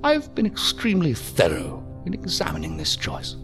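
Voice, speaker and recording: A silky, pompous ambassador of a secret power in a sci-fi audio drama. The voice swoops dramatically from low to high, savoring each ornate phrase with mocking courtesy and condescension, over a music bed. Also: male voice, huge pitch swings, moderate pace, fairly bright tone, heavily acted. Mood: mocking, grandiose. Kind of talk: science fiction audio drama acting.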